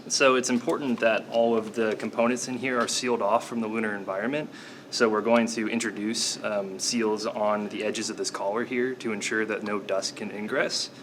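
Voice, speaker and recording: Young man, lowish voice, steady matter-of-fact, faint ambience. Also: moderate pace, noisy background. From United States. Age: young adult. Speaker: engineering student. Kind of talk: casual explanation.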